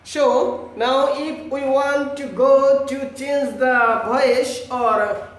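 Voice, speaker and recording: Male teacher, classroom lesson, extremely slow delivery, repetitive explanations, very echoey distant recording. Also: very high-pitched, steady tone. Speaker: English grammar teacher. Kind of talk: classroom lesson addressed to students. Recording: echoey room, distant microphone.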